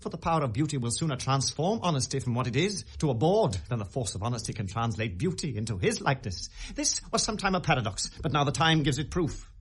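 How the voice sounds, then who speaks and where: Brooding young prince, British accent, bitter, volatile, theatrical verse delivery, classical radio drama. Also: male voice, mid-range voice, big pitch swings, husky. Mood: guarded, probing. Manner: theatrical, deliberate verse delivery swinging to outbursts.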